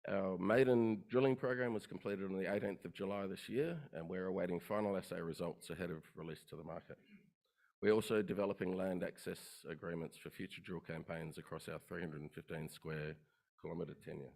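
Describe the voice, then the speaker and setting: A man giving a rehearsed company pitch at an investor conference, listing facts briskly. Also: fairly even intonation, vocal fry, darkish tone. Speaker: company presenter. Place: conference room.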